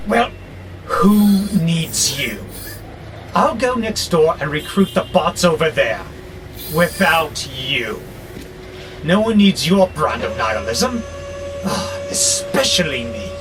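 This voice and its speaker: A man's voice: fairly high pitch, huge pitch swings, heavily acted. A man acting in an audio drama as an arrogant, frustrated warehouse robot plotting war, with a grandiose, exasperated delivery. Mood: spurned, bitter. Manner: grandiose, slow and theatrical, exasperated. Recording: clearly noisy background hum.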